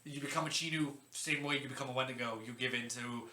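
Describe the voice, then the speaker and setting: A man's voice: medium pitch, fairly even intonation, fast talker, pushed and bright. A man hosting a podcast in a casual, joking, informal explainer style, stumbling over unfamiliar names. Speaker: podcast host. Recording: roomy echo, faint background noise.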